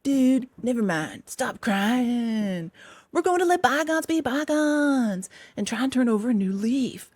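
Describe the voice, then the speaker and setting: A woman voicing a stern, wise old male badger in a storybook reading, speaking slowly and severely. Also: fairly high pitch, lively intonation, darkish tone, breathy edge, no echo, exaggerated voice. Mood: calming. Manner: severe, measured, slow.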